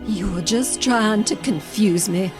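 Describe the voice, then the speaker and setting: An actress playing a fragile, betrayed wife in an audio drama, pleading in a tremulous, melodramatic way. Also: female voice, medium pitch, unhurried, music in the background, close to an everyday voice. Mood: anguished accusing.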